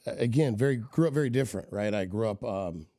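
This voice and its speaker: A man's voice: fairly low pitch, animated, brisk, dark tone, no room sound, studio quality. A middle-aged man telling long, rambling stories as a podcast guest, with many "right?" tags. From Kenya and the southern US. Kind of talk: casual storytelling interview.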